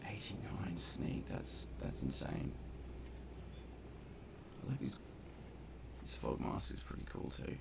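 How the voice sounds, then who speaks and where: A man's voice: low-pitched, very animated, takes it slowly, creaky, pauses often, background noise. A man giving casual, playful gameplay commentary, half muttering his reactions to himself. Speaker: game streamer and commentator.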